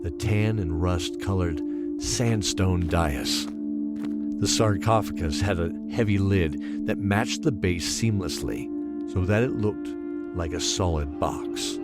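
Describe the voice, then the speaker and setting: A man giving solemn, measured, dramatic narration for a scripted fantasy story. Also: sits low. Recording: loud music underneath.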